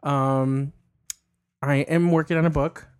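A man's voice: a little high, steady tone, slow pace, clean tone. A young man chatting casually as a podcast guest, laughing often and swearing offhandedly. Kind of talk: casual chat.

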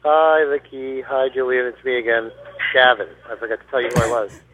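A man's voice: medium pitch, fairly even intonation, brisk, noisy background. A man leaving a rambling, hesitant voicemail for a podcast. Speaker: caller. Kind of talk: voicemail message. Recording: husky voice on tinny phone line.

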